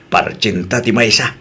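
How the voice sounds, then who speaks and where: A man's voice: fairly low pitch, bright, forceful tone, husky, exaggerated voice. A man with an Ilocano accent playing an easygoing rural father-in-law in an audio drama, chatting in a folksy way.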